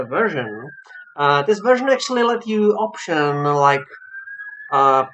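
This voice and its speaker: A reviewer with a foreign accent talks casually and without a script over game footage. The delivery is slow and hesitant, often pausing to search for words. The pitch sits in a middle range, and the phrasing is plainly that of a non-native speaker. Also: a bit bright, highs cut off, a little hiss or hum. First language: not English. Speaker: visual novel reviewer.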